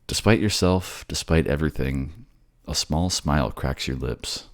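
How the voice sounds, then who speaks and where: Man, extremely low pitch, heavy vocal fry, voice actor, narrating and addressing listeners directly. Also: animated, unhurried. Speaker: voice actor and channel creator. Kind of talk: narration and casual sign-off to listeners.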